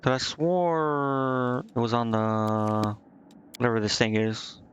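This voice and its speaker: A man's voice: medium pitch, fairly even intonation, takes it slowly, a little hiss or hum. A man doing casual live game commentary as a streamer, hesitantly thinking aloud to himself and reading on-screen text out. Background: game music.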